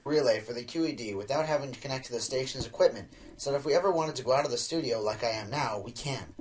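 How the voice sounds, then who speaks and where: A man playing an excitable, scheming inventor pony in an audio drama, geeky, hesitant and boastful. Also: medium pitch, fast talker, a little hiss or hum, natural voice. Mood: enthusiastic.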